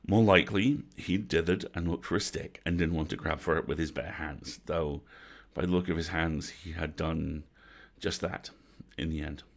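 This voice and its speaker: A man narrating a bedtime story from a script as an expressive storyteller. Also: very deep voice, moderate pace, vocal fry, hardly any pauses. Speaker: storyteller, host.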